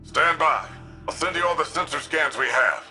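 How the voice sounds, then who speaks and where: An actor playing a cautious, thoughtful ally in a video game, giving mission guidance in a measured, questioning way. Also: male voice, a little high, sharp tone. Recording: music behind.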